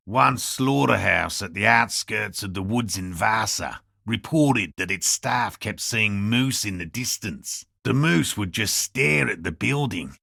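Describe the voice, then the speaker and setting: A man playing an earnest, slightly defensive cryptozoologist in a video game, with a raspy, gravelly voice and a measured, academic, matter-of-fact manner. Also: fairly low pitch, pushed and bright, no echo, clean studio sound, heavily acted. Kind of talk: video game character dialogue.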